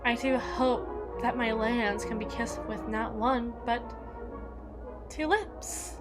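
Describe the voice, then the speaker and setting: A woman playing a jealous, menacing evil queen in an ASMR roleplay, regal and possessive, with theatrical, deliberate formal diction. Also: fairly high pitch, over loud music. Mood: silky, coaxing.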